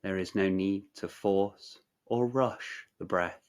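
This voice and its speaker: A man narrating a guided meditation in a measured, soothing, instructional way, leaving long pauses. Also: low-pitched.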